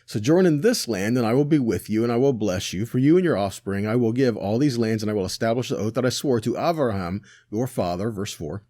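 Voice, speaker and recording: A man teaching scripture in a conversational tone, his pitch rising and falling animatedly as he reads verses and then paraphrases them. He asks rhetorical questions and checks in with "right?" often. The recording is dry and close, with no room sound. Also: expressive, brisk, few pauses, warm tone, no room sound. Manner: rhetorical questions, self-corrections. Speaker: teacher of a weekly Torah portion.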